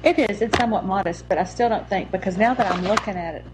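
A woman's voice: mid-range voice, quick pace, noisy background. A young woman with a Texas accent, co-hosting a podcast in casual chat, chatty and often murmuring agreement. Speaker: podcast co-host.

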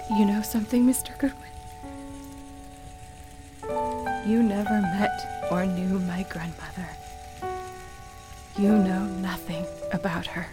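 An actress in a radio play voicing a guilt-ridden, grieving woman who confides in a halting, emotional way. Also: mid-range voice, natural voice. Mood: softened.

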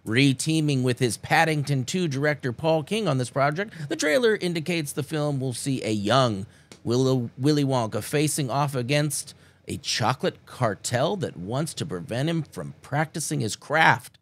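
A man's voice: mid-range voice, animated, moderate pace. A young adult man co-hosting a casual entertainment chat show, joking and tripping over his words as he reads the news. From Nebraska. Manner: joking, stumbling restarts, playful. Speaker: co-host.

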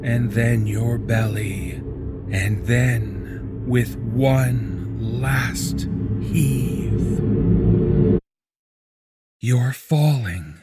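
A man giving a dramatic, scripted horror narration in a grave, deliberate and intense way. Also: medium pitch. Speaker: story narrator. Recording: prominent music bed behind the voice. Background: music.

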